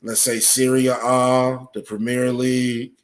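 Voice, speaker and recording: A man speaking as a podcast guest, explaining at length in a halting, deliberate way with many filled pauses. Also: mid-range voice, flat delivery. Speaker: podcast guest, soccer coach. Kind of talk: conversational interview, explaining at length.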